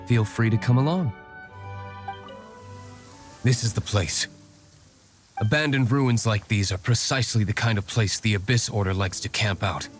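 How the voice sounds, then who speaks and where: An aloof young nobleman who is secretly a vigilante in a video game. His voice is low, measured and formal, holding everything back. Music is clearly heard behind him. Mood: calm, resolute. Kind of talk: video game character acting.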